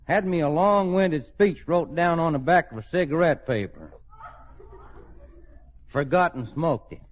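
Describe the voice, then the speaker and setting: A man's voice: on the high side, measured pace, lightly acted. An actor playing a folksy, plain-spoken Texan oil man of few words in a radio comedy. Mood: laconic, bashful. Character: folksy, laconic Houston oil man courting a widow. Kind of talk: 1940s-50s radio comedy acting. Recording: dull old radio recording, studio audience, hiss.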